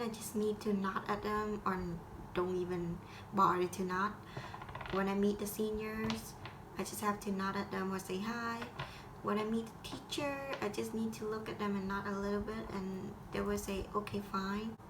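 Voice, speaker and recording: A teenage girl from Vietnam with a Vietnamese accent, speaking English as a second language in a halting school assignment talk full of restarts. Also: medium pitch, background noise. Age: teens. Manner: halting, with frequent restarts and grammar slips. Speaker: high school student.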